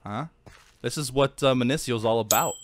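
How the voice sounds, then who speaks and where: A man chatting casually while playing a game with friends, excitable and playful, gushing with enthusiasm. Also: medium pitch, moderate pace. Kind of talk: casual gameplay chat.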